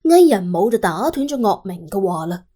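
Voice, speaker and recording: A woman narrating an audiobook in animated storytelling style. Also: medium pitch, moderate pace.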